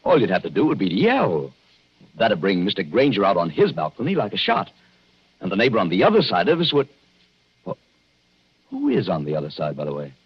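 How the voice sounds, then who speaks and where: Anxious young husband, low husky voice. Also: male voice, wildly swooping pitch, brisk, long pauses, full character voice. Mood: uneasy, reassuring. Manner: jittery, reassuring, emphatic. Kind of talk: 1940s radio acting. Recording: dull 1940s radio recording.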